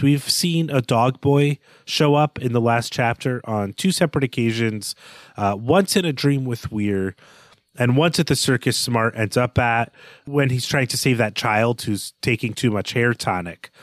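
A man's voice: medium pitch, animated, normal speed, no room sound. A man co-hosting a book podcast, talking through a novel in a thoughtful, hedging way with frequent restarts. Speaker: podcast co-host. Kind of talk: casual book discussion.

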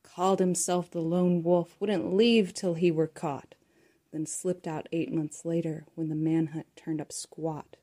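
A woman from Texas reads her own rhyming poem aloud, keeping it hushed and conspiratorial and now and then slipping into a whisper. Her voice is low and mellow. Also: level tone, a little slow. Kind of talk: rhythmic poetry reading.

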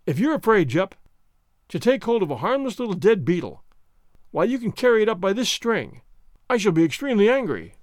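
An imperious gentleman on the edge of madness, performed by a man reading a storybook. He gives formal, exclamatory orders in a high voice that leaps up and down. The sound is close and dry. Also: very animated, fairly quick, no room sound. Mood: stern. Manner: commanding, exclamatory, formal wording. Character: excitable, imperious male treasure-seeking master, bordering on madness. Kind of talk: audiobook character voice.

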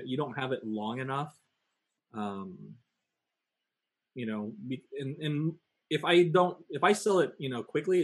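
A man co-hosting a casual talk show, speaking hesitantly with many fillers. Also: medium pitch. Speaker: podcast co-host. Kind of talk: casual chat.

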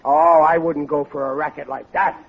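A middle-aged American working stiff from a 1940s radio comedy, sheepish and bumbling. His voice is husky and high-pitched, and he stammers and blusters ungrammatically. It comes through a thin, phone-like old recording. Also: fairly even intonation, moderate pace. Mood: defensive. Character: bumbling, sheepish, guilt-ridden working-class American husband and father.

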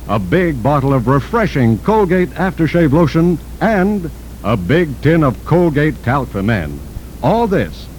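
A man's voice: darkish tone, poor audio. An American man reading scripted advertising copy as a 1940s radio announcer. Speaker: commercial announcer. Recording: vintage radio broadcast, rumbling noise.

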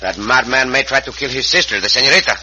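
An actor playing a gallant, commanding Mexican cowboy hero in an old radio western, with a Mexican accent and formal phrasing. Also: male voice, mid-range voice, pushed and bright. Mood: reassuring. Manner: formal, deliberate word choice without contractions. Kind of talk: 1950s western radio acting. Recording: muffled, hissy old radio recording.

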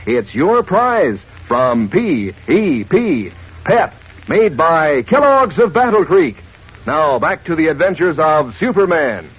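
Animated male vintage radio pitchman. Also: takes it slowly. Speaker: commercial announcer. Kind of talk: 1940s radio advertising pitch. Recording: muffled old radio recording, faint noise.

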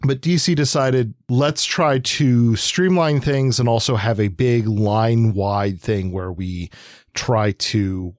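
A man chats as a podcast co-host in an average-pitched voice. He explains casually, wandering into wry asides and digressions. The recording is dry, without room echo. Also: takes it slowly.

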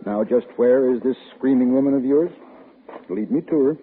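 An actor playing a patient but firm father in a 1950s radio drama, mock-formal and gently teasing in his paternal manner. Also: medium pitch, normal speed, lightly acted. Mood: indulgent, skeptical. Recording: tinny, faintly noisy 1950s radio recording.

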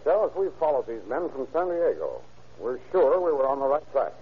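An actor playing a determined, insistent police detective in an old-time radio crime drama. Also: male voice, medium pitch, very animated, brisk, slight background noise, lightly acted. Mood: urgent. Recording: muffled old radio broadcast recording.

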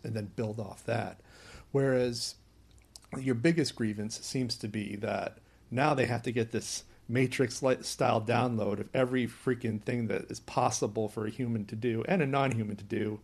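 Gravelly, husky man hosting a podcast. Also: medium pitch, normal speed, darkish tone. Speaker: podcast host. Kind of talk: conversational discussion.

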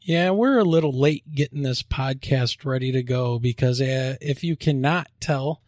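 A man's voice: medium pitch, normal speed, darkish tone, no room sound, clean studio sound. Upbeat, chatty male podcast host. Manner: upbeat and chatty, laughs easily. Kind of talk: casual podcast chat.

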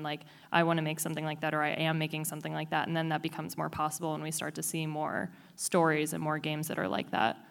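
A woman's voice: low voice, vocal fry, clear, resonant tone. A woman who organizes an indie game showcase, speaking casually on a panel and leaning on "like" and "um". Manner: casual, filler-heavy with frequent "like". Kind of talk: panel discussion.

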